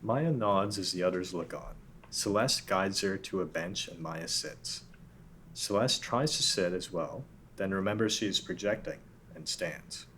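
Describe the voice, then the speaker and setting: A man reads the stage directions of a script plainly at a table read. His voice is very deep. Faint room noise sits behind him. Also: animated, a little slow, a bit bright. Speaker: narrator.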